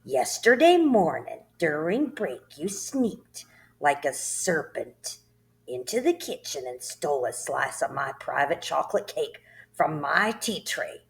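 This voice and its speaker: A woman voicing a tyrannical headmistress who barks insults and shouts at a pupil in a storybook reading. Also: sits low, sing-song, measured pace, gravelly, full character voice, poor audio. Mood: furious. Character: bellowing tyrannical female headmistress, bullying.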